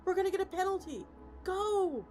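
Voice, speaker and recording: A woman voicing an excitable, sweary football player in a dramatic story reading, frantic and exclamatory. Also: very high voice, normal speed, faint music behind, voice pushed up. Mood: urgent, bossy. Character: excitable, foul-mouthed football player and play commentator.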